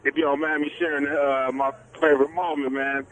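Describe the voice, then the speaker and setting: A man calling in as a truck driver, talking in a relaxed, slangy way. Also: a little high, a little fast, rough recording. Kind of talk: casual phone call.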